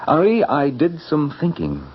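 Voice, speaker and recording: An actor playing a nervous, evasive young bridegroom in a 1970s radio drama, halting and stammering with emphatic delivery. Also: male voice, on the high side, wildly swooping pitch, breathy edge, exaggerated voice. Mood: agitated, resolved. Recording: dull 1970s radio broadcast, faint music.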